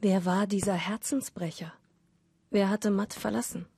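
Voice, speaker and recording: A woman narrating a radio play, reading scripted dramatic prose in measured storytelling phrases. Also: mid-range voice, steady tone, darkish tone, faint music behind.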